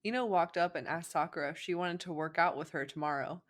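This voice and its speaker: Giggly young woman narrating, lowish voice. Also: fast. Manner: giggly, playful. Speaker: co-host, fan fiction reader. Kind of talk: informal story narration with banter.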